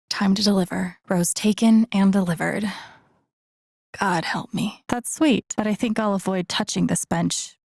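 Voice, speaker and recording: Wry, creaky-voiced introspective young woman. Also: a little mellow, no room sound, clean studio sound. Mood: wry, light. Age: young adult. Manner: low-key, wry, musing to herself. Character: introspective young woman, gentle, wry, quietly curious, empathetic. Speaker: video game protagonist. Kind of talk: naturalistic game voice acting, inner-monologue remarks.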